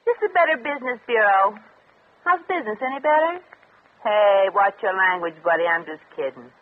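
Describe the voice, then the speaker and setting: An actress playing a brash, wisecracking, streetwise fortune teller in 1950s radio comedy, with sardonic, slangy delivery. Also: female voice, mid-range voice, lively intonation, a little fast, lightly acted. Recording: tinny, hissy early-1950s radio broadcast.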